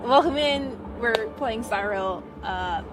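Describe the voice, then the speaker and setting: A woman's voice: a little high, expressive, slow pace, background noise. A woman live streamer chatting casually and slangily to her viewers.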